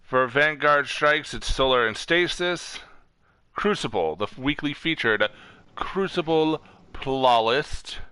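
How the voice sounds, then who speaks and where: A man's voice: mid-range voice, animated, takes it slowly, sharp tone, smooth, no room sound. A man hosting a casual gaming stream, reading off lists informally, stumbling over words and correcting himself. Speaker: streamer host.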